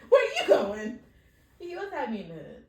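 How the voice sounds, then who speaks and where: A lively young woman with a fairly high voice chats with a friend, often laughing and acting out what others said. She sits back from the mic, and the room rings around her. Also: a little fast, far from the mic. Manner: animated, laughs often, acts out quotes. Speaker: podcast co-host. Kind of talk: casual chat. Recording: roomy, from off-mic.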